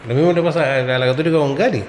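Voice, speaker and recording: A man's voice: on the high side, racing, darkish tone. A man arguing his point emphatically in a casual football chat. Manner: emphatic, argumentative. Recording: echoey room with faint noise.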